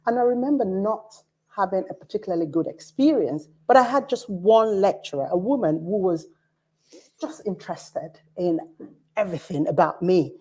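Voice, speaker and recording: A woman's voice: medium pitch, animated, normal speed, mellow, husky, poor audio. A woman in her forties with a Nigerian accent, speaking as an academic director on a conference panel in an earnest, storytelling way.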